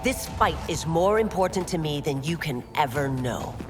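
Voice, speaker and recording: Casting: steadfast game constable, proud and formal, reverberant sound with clear music underneath. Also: female voice, deep voice, lively intonation, normal speed. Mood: resolute. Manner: formal, resolute. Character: proud, determined female constable and warrior. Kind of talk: video game cutscene acting.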